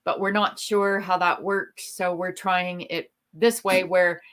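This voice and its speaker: Chatty, low-voiced woman streamer. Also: fairly even intonation, slow, a bit bright. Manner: chatty, rambling storyteller with many ums. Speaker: livestream host. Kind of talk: casual chat on a livestream.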